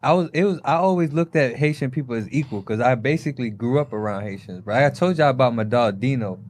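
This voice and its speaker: Male co-host, medium pitch, relaxed storyteller in casual chat. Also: fast. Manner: laid-back, storytelling, gives advice. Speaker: podcast co-host.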